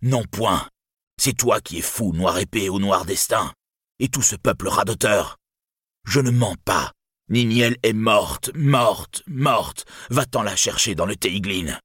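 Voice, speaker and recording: A man performs a defiant healer weighed down by anguish. He sounds husky and grave in a dry recording, stressing his words bitterly in dramatic storybook fashion. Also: deep voice, a little slow, fairly bright tone, no room sound, full character voice. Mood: furious. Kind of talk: dramatic fantasy storybook reading.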